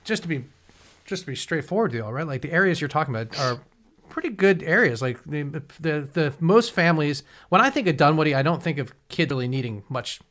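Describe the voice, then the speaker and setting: A man's voice: fairly high pitch, animated, brisk, a bit bright. A man in his forties interviewing guests in a casual, rambling way, often restarting his sentences. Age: 40s.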